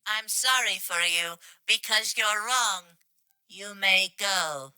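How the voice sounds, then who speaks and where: A woman's voice: mid-range voice, slow pace, pushed and bright, clear, resonant tone, dry recording, rough recording. A woman's voice reading scripted game lines in a stilted, literal way. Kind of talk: flat reading of garbled translated game lines.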